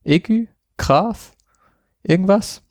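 A man co-hosting a podcast, chatting casually about software and thinking aloud with lots of filler sounds. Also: high-pitched, slow, dry recording, clean studio sound. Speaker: podcast co-host. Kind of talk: casual tech chat.

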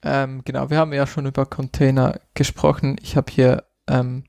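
Gravelly man in a casual tech chat. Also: medium pitch, moderate pace, dry recording, studio quality. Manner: relaxed, many fillers, thinks aloud. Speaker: podcast co-host.

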